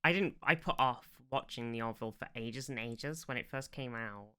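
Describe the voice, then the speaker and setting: Very bright, clear-voiced man, blunt and casual. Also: mid-range voice, moderate pace. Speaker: podcast host. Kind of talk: casual chat.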